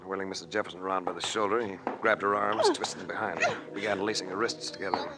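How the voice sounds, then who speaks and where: Hardboiled adventurer, lowish voice, dry wisecracks, noisy old radio show. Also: male voice, big pitch swings, fast talker. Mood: wry narrating. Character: wry, hard-boiled male adventurer telling his own story. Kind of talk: 1940s radio detective narration and acting.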